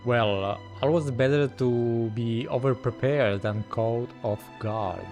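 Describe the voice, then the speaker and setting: Slow-talking male gamer reacting casually. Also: mid-range voice, darkish tone. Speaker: video game streamer. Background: faint game music.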